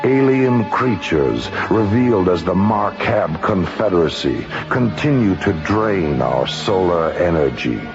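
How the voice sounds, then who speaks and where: Deep slow narrator guy, solemn sci-fi radio drama, muffled sound with music under. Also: expressive. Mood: grave. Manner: solemn, portentous. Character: grave, portentous documentary-style narrator. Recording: dull radio recording, music behind.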